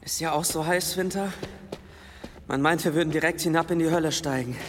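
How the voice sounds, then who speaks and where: A man's voice: on the high side, a little fast, natural voice. An actor playing a complaining, reckless boy in a radio play, sometimes straining with effort. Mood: grumbling. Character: grumbling, reckless, thirsty boy from the seaside. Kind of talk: dramatic radio play acting. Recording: reverberant cave effect, noisy water sounds.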